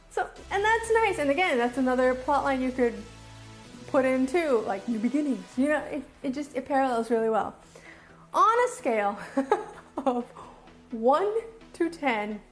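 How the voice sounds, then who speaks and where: A woman reviewing casually and off the cuff as a video host, enthusiastic and emphatic, often repeating phrases to drive them home. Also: fairly high pitch. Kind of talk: casual unscripted review to camera.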